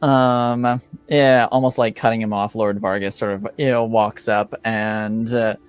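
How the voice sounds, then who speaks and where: A man improvising narration as game master of a tabletop roleplaying game, speaking in a halting, deliberate way. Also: fairly low pitch, steady tone, clear, resonant tone, dry recording.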